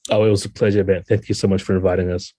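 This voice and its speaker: A man's voice: low-pitched, little pitch movement, racing, warm tone, dry recording. A man talking conversationally as a guest game designer on a podcast, earnest and thoughtful.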